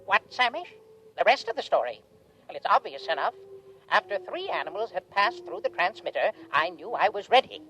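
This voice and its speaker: A woman's voice: mid-range voice, sing-song, fairly quick, a bit bright, slight background noise, rough recording. A woman playing a smug, gloating alien creature telling a story with theatrical self-satisfaction in a 1950s radio drama. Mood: smug, confiding. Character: smug, gloating alien husband creature recounting his wife-disposal scheme. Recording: old radio recording with music underneath.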